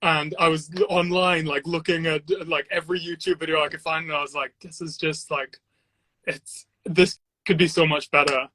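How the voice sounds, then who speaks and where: A man's voice: fairly high pitch, fairly even intonation, normal speed, bright, forceful tone, dry recording. A man who grew up in Trinidad, chatting casually as a guest and telling his story with many fillers and restarts. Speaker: guest, sound engineer and videographer. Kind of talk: casual chat on a video call. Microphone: Bluetooth headphones, then computer mic.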